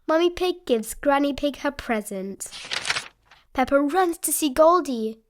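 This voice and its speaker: A woman's voice: high-pitched, lively intonation, a little slow, background noise. A woman reading a storybook aloud expressively for small children. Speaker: storybook reader.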